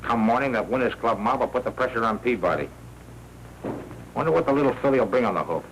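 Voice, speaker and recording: A wisecracking American newsroom tipster in a 1940s noir movie. His medium-pitched voice swings up and down as he jokes in glib slang. The vintage soundtrack is a little noisy. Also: expressive, fast, a bit bright. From United States. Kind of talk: 1940s film noir acting.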